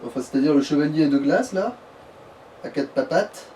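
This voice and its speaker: A man's voice: fairly high pitch, lively intonation, normal speed, warm tone. A man casually giving directions to someone playing a video game, explaining in colloquial words. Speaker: co-commentator guiding the player. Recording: slightly distant, noisy voice recording.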